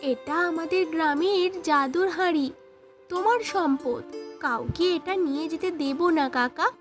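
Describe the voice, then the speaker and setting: A woman's voice: very high voice, unhurried, soft background music. A woman voicing a brave, earnest village girl in a fairy-tale cartoon, reassuring and resolute. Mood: proud, warm. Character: brave, determined village girl who hunts a thief.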